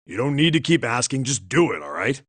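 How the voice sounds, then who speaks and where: An actor voicing a big, gruff older man in a video game, blunt and teasing, with a rough, husky voice. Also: mid-range voice, very animated, brisk, fairly bright tone, no echo. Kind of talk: English video game voice acting.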